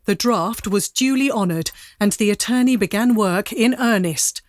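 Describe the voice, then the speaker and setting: A woman's voice: mid-range voice, normal speed, no room sound. A woman reading scripted narration for a dramatic audio story.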